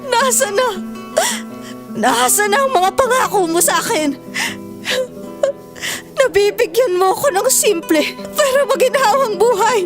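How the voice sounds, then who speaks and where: Woman acting a very high-pitched anguished wife. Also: expressive. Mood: hurt, reproachful. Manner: tearful, emphatic, drawn-out delivery. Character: anguished, wronged young wife and mother, pleading and reproachful. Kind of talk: melodramatic audio drama acting. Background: prominent dramatic music.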